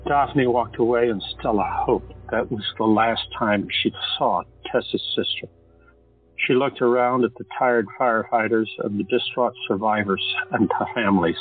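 A man narrates an audio drama in a low, slightly husky voice with a steady, measured delivery. The sound is thin and tinny, as if over a phone, with faint music behind.

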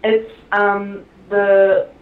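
A woman's voice: medium pitch, monotone, very slow. A woman film director being interviewed, talking casually with many fillers and frequent laughs. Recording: echoey, tinny phone-like line.

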